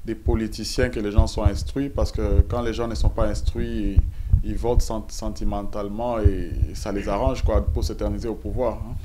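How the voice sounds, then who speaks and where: A man interviewing in a conversational, halting way, asking leading questions. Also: lowish voice, flat delivery. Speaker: interviewer, journalist. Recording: clearly noisy background.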